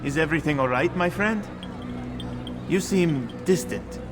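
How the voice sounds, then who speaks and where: A man playing a kindly, soothing confidant in a video game, offering counsel in a gentle, courteous manner. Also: a little high, a little slow, music in the background, lightly acted. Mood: concerned. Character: kindly, soothing male bureau keeper and confidant. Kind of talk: video game cutscene acting.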